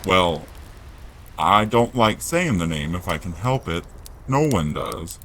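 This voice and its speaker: Man acting gruff kindly giant, audio drama, low pitch, rustic exclamatory speech, noisy ambience. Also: big pitch swings, slow, fairly bright tone, heavily acted. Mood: anxious, reluctant.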